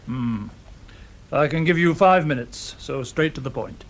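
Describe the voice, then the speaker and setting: An actor playing an ailing, weary but firm middle-aged British factory owner in a radio drama, speaking formally with some hesitation. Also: male voice, a little high, a little slow. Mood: firm, regretful.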